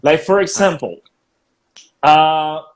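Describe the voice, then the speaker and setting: A man whose first language is Spanish hosts a casual interview, his voice sitting a little high. He talks haltingly, searches for words, and laughs often. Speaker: interview host.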